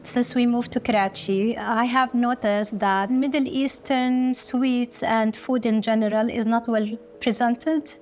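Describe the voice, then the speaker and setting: A middle-aged woman from Kuwait, speaking slowly and earnestly with short pauses in a documentary interview. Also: a little high, steady tone, a little mellow. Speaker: business founder.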